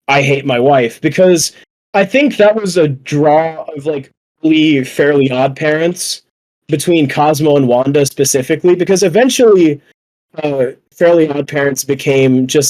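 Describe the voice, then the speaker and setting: A man's voice: mid-range voice, darkish tone, rough recording. A young adult man hosting a casual podcast, rambling along in loose, profane talk. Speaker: podcast host.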